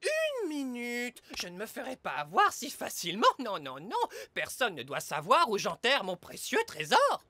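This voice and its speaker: A man's voice: very high-pitched, huge pitch swings, moderate pace, hardly any pauses, pushed and bright, no echo, heavily acted. A man playing a suspicious, boastful pirate captain guarding treasure in a cartoon dub, exclaiming theatrically. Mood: wary, sly. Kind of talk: animated cartoon dub acting.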